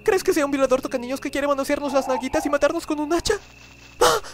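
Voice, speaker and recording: Man playing an anxious, high-pitched cartoon teenage boy. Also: fast, faint music behind. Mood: alarmed. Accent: Latin American Spanish. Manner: dramatic, fretful. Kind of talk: cartoon fandub acting.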